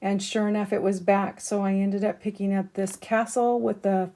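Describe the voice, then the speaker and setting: Chatty woman, slightly hoarse. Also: mid-range voice, flat delivery, moderate pace, dark tone. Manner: chatty, many ums, showing items up close. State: losing her voice, clearing throat. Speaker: crafting channel host. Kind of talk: casual haul chat to camera.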